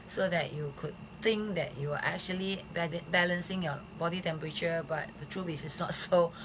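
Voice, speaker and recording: A woman with a hoarse voice hosting a casual live health stream, chatting with lots of fillers. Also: fairly low pitch, moderate pace, background noise. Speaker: host of a live health show. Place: Philippines.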